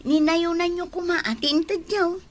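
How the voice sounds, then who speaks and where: Bubbly high-voiced young daughter. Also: female voice, steady tone, moderate pace. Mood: eager. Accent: Northern Philippine. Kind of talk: radio drama acting.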